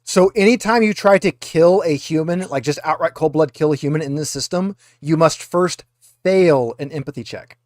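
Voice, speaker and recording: A man's voice: a little high, normal speed, darkish tone, no echo, studio quality. A man working as game master, informally narrating and explaining a tabletop roleplaying game.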